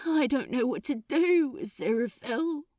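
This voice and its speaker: A woman's voice: sits high, lively intonation, moderate pace, dark tone, dry recording, clean studio sound, pitched above the performer's own voice. A woman voicing an anguished male demon, despairing and defiant, with a distraught, pleading delivery in a dramatic audiobook. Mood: bitter, desperate. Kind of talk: dramatic audiobook character acting.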